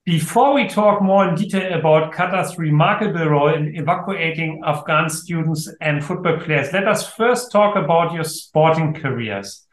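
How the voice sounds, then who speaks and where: Guy hosting an interview podcast, formal measured, slightly high voice, echoey. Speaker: podcast host, professor. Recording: echoey room.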